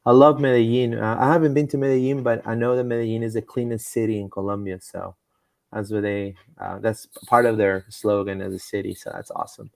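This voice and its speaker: A man's voice: lowish voice, fairly even intonation, fairly quick, creaky, no echo. A man hosting a casual live soccer stream, rambling with lots of fillers and stumbles as he reads comments and rumors. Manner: rambling, with frequent fillers and repeated words. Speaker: podcast host.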